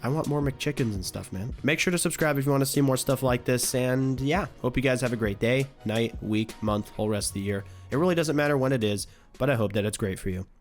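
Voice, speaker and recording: A young man speaks in a casual, opinionated rant, his voice a little raspy from recent illness. His pitch sits in the middle, and the delivery stays blunt and loose, with the odd curse thrown in. Faint music plays softly underneath. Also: fairly quick. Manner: ranting, blunt, casual with swearing. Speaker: gaming YouTuber. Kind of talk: opinionated commentary vlog.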